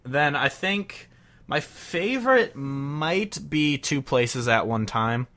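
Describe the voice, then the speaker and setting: A young man co-hosting a casual podcast, agreeable and quick to say yeah while giving his own opinions. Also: fairly high pitch, animated, fairly bright tone. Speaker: podcast co-host. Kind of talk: casual chat.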